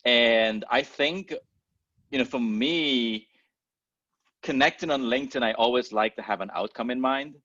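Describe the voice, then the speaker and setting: A man from Trinidad and Tobago, a podcast guest talking in an easygoing, relaxed way. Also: mid-range voice, no echo, highs cut off. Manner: relaxed, easygoing, quick to laugh. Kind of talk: casual interview conversation.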